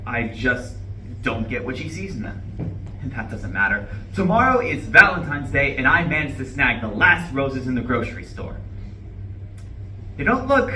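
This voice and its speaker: A man acts out an eager, anxious young husband in a staged reading, keen to please his wife. His voice is bright and forward, heard from a distance in a roomy space with faint noise behind. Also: medium pitch, big pitch swings, moderate pace. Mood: uneasy. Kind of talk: live staged play reading. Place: live reading in a university room.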